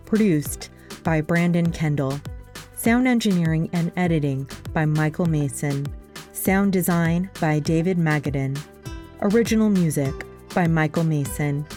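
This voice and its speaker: A woman's voice: sits low, warm tone. A woman reading a scripted announcement as a show's credits announcer.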